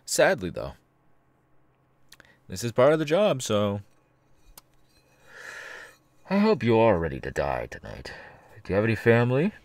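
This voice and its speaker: A man voicing a sadistic, gleeful masked killer in an ASMR roleplay, taunting the listener in a gravelly voice. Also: very animated, darkish tone, no echo. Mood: smug menace. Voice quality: creaky, gravelly low voice. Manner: slow, taunting, drawn-out with sudden swings. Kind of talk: ASMR villain roleplay, close and menacing.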